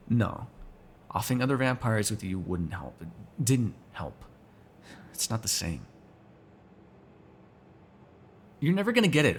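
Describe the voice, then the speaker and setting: A man's voice: mid-range voice, slightly rough. A man playing a sarcastic, teasing vampire who kills without regret, in casual, profane roleplay banter. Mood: defensive, frustrated. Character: snarky male vampire, restless unrepentant killer, teasing. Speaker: voice actor in an audio roleplay. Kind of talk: ASMR roleplay, casual one-sided dialogue.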